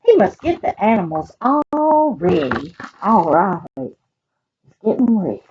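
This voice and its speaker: A woman with a Southern US accent reading picture books aloud to children in an animated, expressive way, adding chatty asides. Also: mid-range voice, takes it slowly, highs cut off, noisy background. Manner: animated, expressive, talks directly to young listeners.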